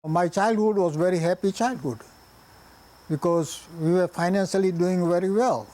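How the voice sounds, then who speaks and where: An older man from Gujarat in India, a native Gujarati speaker with a Gujarati Indian accent, recalling his life in plain, halting speech with pauses and restarts. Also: high voice, mellow, faint music behind, low-quality recording. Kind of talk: oral history reminiscence.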